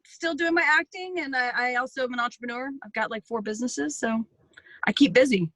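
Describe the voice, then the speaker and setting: Chatty woman with a gritty, bright voice. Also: brisk, no echo, poor audio. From Florida. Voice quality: deep, gritty. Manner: chatty, joking. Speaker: voice actor guest. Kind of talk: casual self-introduction.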